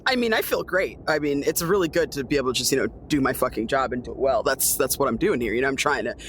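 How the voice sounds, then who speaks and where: Animated woman roleplaying. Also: a bass voice, fast, slight background noise. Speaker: tabletop game player. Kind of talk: improvised in-character roleplay and casual chat. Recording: music behind the voice. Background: music.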